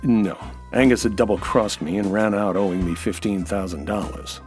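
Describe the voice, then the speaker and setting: An actor playing a suspicious, hard-boiled American nightclub owner who narrates in a wry, terse radio noir style. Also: male voice, lowish voice, fairly even intonation, moderate pace, a little mellow, faint music behind, lightly acted. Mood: exasperated. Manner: wry, terse, tough-talking. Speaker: actor playing lead detective-style hero. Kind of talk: old-time radio noir monologue and dialogue.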